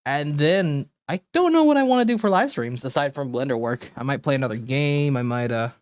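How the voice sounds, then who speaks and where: A man rambling casually and low-key as he chats on a livestream. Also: expressive, a little fast, no echo, highs cut off. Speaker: live streamer.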